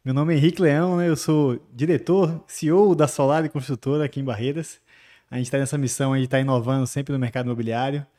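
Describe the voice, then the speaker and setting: A man, a guest on a podcast, telling stories in a relaxed way and often ending sentences with tag questions. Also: medium pitch, fast talker. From Bahia, Brazil. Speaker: guest, construction company chief. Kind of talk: casual podcast interview.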